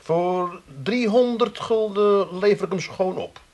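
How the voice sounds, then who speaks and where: Amsterdam bike storage guy, bit high voice, dogged, only after the money, radio comedy. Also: takes it slowly, darkish tone. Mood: businesslike. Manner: dogged, businesslike, interjecting. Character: adult son, mercenary, dogged Amsterdam bike-shed keeper. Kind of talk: comic radio play acting.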